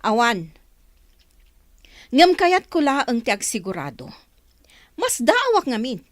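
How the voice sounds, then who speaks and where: A woman playing a harsh, resentful mother in an audio drama, scolding and sharp-tongued. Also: fairly high pitch, wildly swooping pitch, slow pace, no room sound, clean studio sound, full character voice. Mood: resentful, suspicious. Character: harsh, resentful mother, suspicious of her adopted son.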